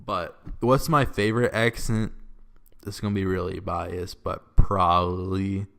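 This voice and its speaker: A young man with a Midwestern accent works through viewer questions in a relaxed, rambling vlog. He talks slowly in a somewhat low voice, leaning on ums and likes. The room around him is echoey. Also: vocal fry. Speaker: YouTuber. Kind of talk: casual Q&A vlog.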